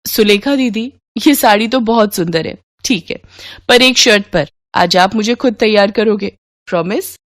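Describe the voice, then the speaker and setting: A woman's voice: mid-range voice, moderate pace, no echo, studio quality, lightly acted. A woman voicing an earnest, affectionate young woman from a rich family in a Hindi moral story, with an emphatic, polite manner. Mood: delighted.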